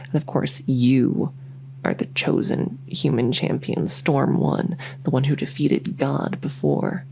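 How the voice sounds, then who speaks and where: A woman's voice: very deep voice, fairly even intonation, slow, gravelly, a little mellow, a little hiss or hum, full character voice. A woman voicing a lonely, socially awkward vampire who rambles enthusiastically in an ASMR audio roleplay. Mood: earnest rambling. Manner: rambling, enthusiastic info-dumping, many fillers. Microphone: close ASMR microphone.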